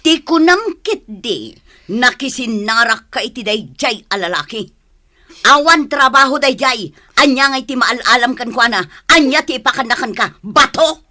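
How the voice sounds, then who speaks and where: A woman's voice: on the high side, expressive, normal speed, sharp tone. A woman playing a strict, suspicious, nagging mother in a comedy drama, with a northern Philippine accent. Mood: angry scolding. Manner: nagging, exclaiming, interrogating. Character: scolding, suspicious, strict mother of grown daughters. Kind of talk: amateur comedy drama acting.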